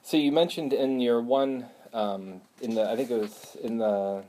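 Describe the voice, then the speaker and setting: A man's voice: medium pitch, steady tone, measured pace, mellow. A young man, a history graduate student, interviewing an older man and asking questions politely and hesitantly, with many "um"s. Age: young adult.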